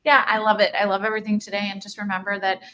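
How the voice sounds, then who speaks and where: A woman's voice: mid-range voice, little pitch movement, very fast, bright, forceful tone. A woman co-hosting a live stream over a video call, speaking in an enthusiastic, encouraging way. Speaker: co-host. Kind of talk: casual live-stream chat.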